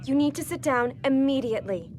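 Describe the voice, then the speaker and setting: A woman's voice: high-pitched, lively intonation, brisk, a little mellow, a little hiss or hum. A woman playing a stern flight attendant in an audio drama, giving commands in a warning manner.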